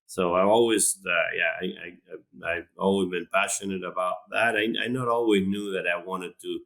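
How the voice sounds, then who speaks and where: Deep-voiced man from Argentina. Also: fairly even intonation, moderate pace, vocal fry, fairly bright tone. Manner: hesitant, many fillers and restarts. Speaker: animal science professor, guest. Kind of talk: casual interview talk.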